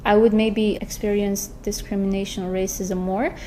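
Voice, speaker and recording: A woman's voice: medium pitch, flat delivery, normal speed, dark tone, slight background noise. A young woman from Turkey chatting casually, with frequent "like" fillers and the odd Korean phrase. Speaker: vlog guest. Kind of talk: casual chat.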